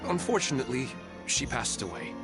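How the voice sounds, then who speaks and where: A man acting as a polite, gentle and wistful townsman in a video game. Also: medium pitch, sing-song, brisk, loud music behind the voice, close to an everyday voice. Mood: wistful, subdued. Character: polite, wistful widowed townsman seeking expert advice.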